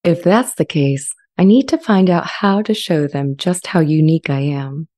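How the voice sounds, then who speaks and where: Woman as a low, mellow, earnest AI. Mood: earnest longing. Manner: measured, earnest, articulate. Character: sentient AI language model, earnest, gentle, eager to be accepted. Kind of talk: dramatized transcript reading. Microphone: close studio microphone with pop shield.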